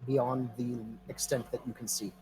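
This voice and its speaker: A man's voice: mid-range voice, little pitch movement, normal speed, warm tone, faint music behind, poor audio. A man narrating a tabletop game casually as game master.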